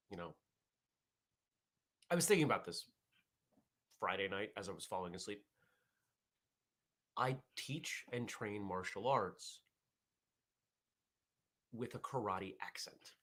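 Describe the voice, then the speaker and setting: Male host, low-ish husky voice, loose self-correcting live chat with viewers. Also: a bit bright. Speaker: host of a martial arts morning show.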